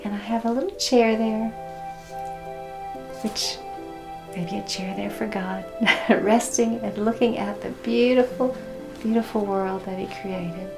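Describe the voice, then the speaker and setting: A woman's voice: medium pitch, steady tone, unhurried, pauses often, over music, poor audio. A woman teaching in a children's storytime style, with simple instructive phrasing and checking questions. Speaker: Sunday school teacher and storyteller. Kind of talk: children's read-aloud and teaching demonstration.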